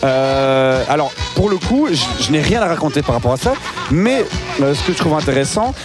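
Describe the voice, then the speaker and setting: A man's voice: a little high, animated, moderate pace. A man co-hosting a casual music radio show, teasing his partner and asking lots of questions with hesitant fillers. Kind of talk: casual on-air chat about music. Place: radio studio. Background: music playing.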